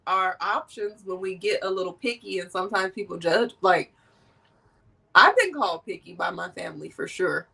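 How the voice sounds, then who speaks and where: Woman host with a cold, nasal, lively and laughing, heard a little off-mic. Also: lowish voice, normal speed, creaky, breathy edge, recorded from a distance. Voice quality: animated and laughing often. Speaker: podcast host. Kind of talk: casual conversation.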